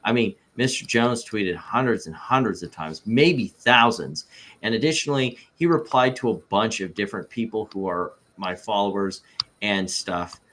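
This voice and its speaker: A man reading the part of an evasive businessman witness in an amateur deposition read-through, halting and repeating himself. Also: fairly low pitch, a bit bright, lightly acted. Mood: defensive, evasive.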